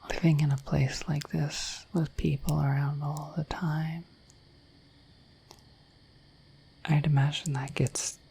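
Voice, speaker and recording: A woman voicing a shy, affectionate, dorky dragon in a soft, breathy, halting ASMR whisper, close to the microphone. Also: low voice, a little hiss or hum, poor audio. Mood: tender, caring. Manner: halting, gentle, earnest. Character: shy, dorky, affectionate female dragon, naive about humans.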